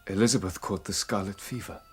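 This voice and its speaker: A man's voice: lowish voice, a little fast, husky, soft background music. A man playing an earnest, studious young student telling his own story in a dramatised audiobook. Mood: solemn, reflective. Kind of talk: dramatised first-person narration.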